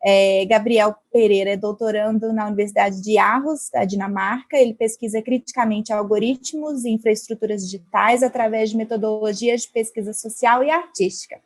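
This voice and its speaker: A woman's voice: mid-range voice, fairly even intonation, moderate pace, hardly any pauses. A woman moderating an online panel, introducing the guests in a friendly way over a video call.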